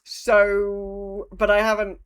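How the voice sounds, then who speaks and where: A woman's voice: mid-range voice, completely flat delivery, takes it slowly, clean tone. A woman co-hosting a podcast in casual gaming chat, speaking informally and hesitantly with some swearing. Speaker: podcast co-host.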